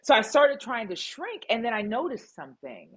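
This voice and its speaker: A woman's voice: on the high side, very animated, normal speed, breathy edge. A middle-aged woman speaking as a guest in an interview, telling her story emphatically and with animation. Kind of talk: candid interview conversation.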